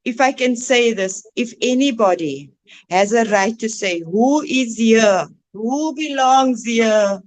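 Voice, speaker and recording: Slow, impassioned Cape Flats woman. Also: on the high side. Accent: South African, Cape Flats. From South Africa. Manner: slow, declaiming, preacherly. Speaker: guest. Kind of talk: impassioned online discussion.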